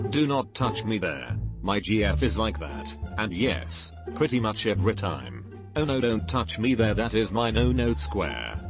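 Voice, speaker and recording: Low-voiced man narrating over music. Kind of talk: scripted narration of online forum posts.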